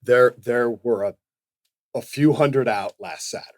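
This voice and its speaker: A man co-hosting a podcast, giving casual advice in a somewhat halting way, repeating his phrases. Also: medium pitch, no room sound, studio quality. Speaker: podcast co-host.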